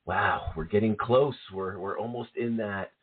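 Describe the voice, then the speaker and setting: An East Coast American man co-hosts a spiritual radio show with a husky, low voice. He talks in a calm, reflective way and circles back over his words as he thinks. The sound is thin and tinny, like a phone line. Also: measured pace. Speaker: co-host, psychic medium. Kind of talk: casual spiritual radio talk. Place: at home.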